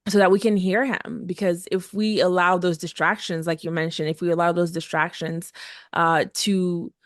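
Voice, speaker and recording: A woman's voice: lowish voice, little pitch movement, normal speed, dry recording, clean studio sound. A woman hosting a faith podcast for moms, speaking in an encouraging, casual way with frequent fillers and restarts. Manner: encouraging, hesitant with many fillers. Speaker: podcast host.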